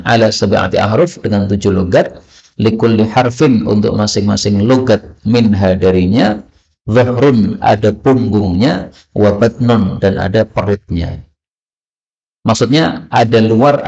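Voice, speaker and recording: A man with a gravelly, fry-laced voice at an ordinary pitch leads a religious study lesson. He works through texts line by line, often asking his listeners a question and then answering it himself. The recording sounds muffled and lo-fi. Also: darkish tone. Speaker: Islamic teacher. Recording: dull, lo-fi recording.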